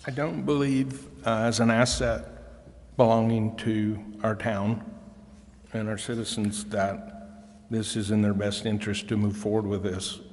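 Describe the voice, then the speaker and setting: A man speaking as a council member in formal debate, with halting, deliberate phrasing. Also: lowish voice, steady tone, darkish tone. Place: council chamber. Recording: echoey hall.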